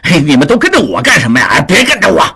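A man voicing a hot-tempered middle-aged man who shouts and stammers in anger. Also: medium pitch, wildly swooping pitch, moderate pace, slightly rough, heavily acted. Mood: angry. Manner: shouting, flustered, swearing.

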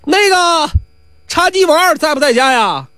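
A man's voice: on the high side, very animated, a little fast, dry recording, clean studio sound. A man voicing a self-important, hot-tempered errand runner who stammers and blusters, in a comic folk tale. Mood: calling out.

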